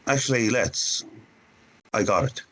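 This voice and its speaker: A man's voice: medium pitch, unhurried, fairly bright tone, slightly rough. A man acting a casual, teasing, frank boyfriend in a screenplay table read, speaking bluntly and familiarly. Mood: upbeat.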